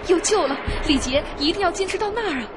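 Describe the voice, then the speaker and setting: A scared young woman, acted by a woman in a radio drama, complaining in a high voice. Her intonation swings widely, with music playing behind her. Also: expressive, fast. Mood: anxious, complaining. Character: frightened, complaining young woman stranded on a trip.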